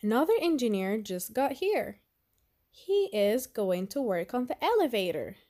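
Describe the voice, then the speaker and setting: A woman narrates a picture book for young children. Her voice sits a little high and swings up and down in a lively way. She takes her time, phrasing slowly and deliberately. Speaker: storyteller reading a children's picture book aloud. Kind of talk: expressive read-aloud narration for children.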